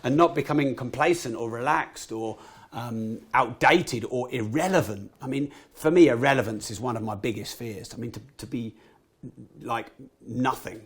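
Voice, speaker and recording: A man in his thirties with a croaky voice, a podcast guest telling animated stories and swearing casually. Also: medium pitch, moderate pace. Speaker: guest entrepreneur. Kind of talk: podcast interview storytelling.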